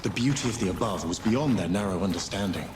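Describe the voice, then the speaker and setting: An actor playing a weary, regretful death god in a video game, speaking in a solemn, measured way. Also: male voice, low voice, expressive, over music. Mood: wistful. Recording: roomy echo, noisy game ambience.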